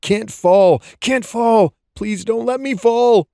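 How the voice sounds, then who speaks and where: A man's voice: sits high, slow, creaky, mellow, dry recording, studio quality, pitched above the performer's own voice, full character voice. A man voicing a terrified, guilt-ridden young man fleeing for his life, pleading frantically in an audiobook thriller. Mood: panicked, pleading. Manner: frantic, pleading, urgent repeated exclamations. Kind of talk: audiobook thriller character acting.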